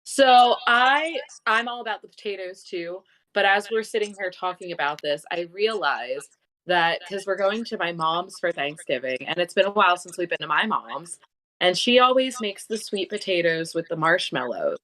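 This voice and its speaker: A woman's voice: fairly low pitch, animated, normal speed, sharp tone, poor audio. A young adult woman co-hosting a show, chatting casually in a chatty, enthusiastic way. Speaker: co-host. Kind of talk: casual chat.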